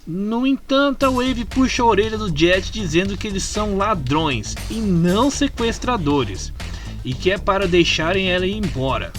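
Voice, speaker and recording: Brazilian male narrator, fairly high voice, informal comic storytelling, clear background music. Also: fairly bright tone. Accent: Brazilian Portuguese. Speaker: narrator of a fan comic dub. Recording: music behind the voice.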